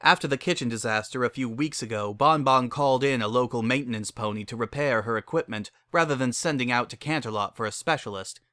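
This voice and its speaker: Male narrator, bright forceful voice, middle pitch, dry close sound, dramatic scripted storytelling. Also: fairly quick, hardly any pauses, dry recording.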